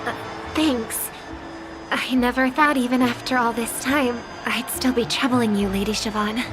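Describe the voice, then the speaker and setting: A woman voicing a gentle, earnest, wistful young woman in a video game. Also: a little high, fairly even intonation, a little slow, over music, lightly acted. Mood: gentle, nervous.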